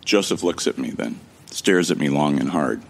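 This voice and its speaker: Very deep, gravelly man narrating wryly. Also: lively intonation, moderate pace. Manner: wry, joking, casual with swearing. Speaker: narrator and protagonist. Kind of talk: first-person scripted drama narration.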